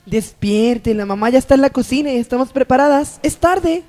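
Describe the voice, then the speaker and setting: A woman playing a bossy, lively, teasing sister in a radio soap opera. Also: high voice, moderate pace. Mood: impatient.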